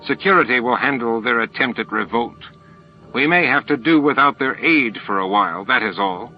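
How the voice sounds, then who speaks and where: A man's voice: medium pitch, lively intonation, normal speed, natural voice. A male reader plays a dismissive alien grandmaster in an audiobook drama. He is stern and curt, used to command, heard on dull lo-fi tape with music. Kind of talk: audiobook character acting.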